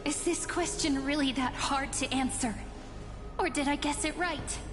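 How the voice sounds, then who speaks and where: Lady voicing a calm defiant young game heroine, high voice, big reverb, music behind. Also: normal speed, natural voice. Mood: calm, resolute. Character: calm, defiant young heroine reasoning against her foe. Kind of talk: video game story voice acting.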